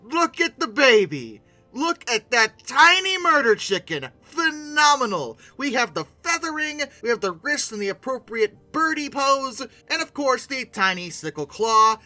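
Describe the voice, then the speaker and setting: A man's voice: extremely high pitch, big pitch swings, measured pace, pushed and bright, soft background music. A man hosting a conversational video essay with playful, theatrical emphasis. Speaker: video host, game reviewer.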